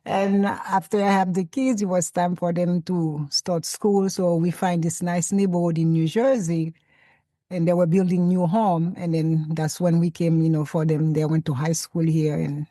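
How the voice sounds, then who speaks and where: A woman from Haiti, chatting softly as an interview guest over a video call. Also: fairly low pitch, level tone, normal speed. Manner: soft-spoken with light laughter. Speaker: guest, travel vlogger. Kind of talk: casual interview chat.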